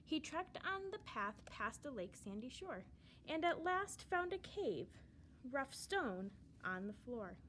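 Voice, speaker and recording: A woman reading a children's storybook aloud in verse, with expressive, dramatic emphasis. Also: high-pitched, slow, a little hiss or hum.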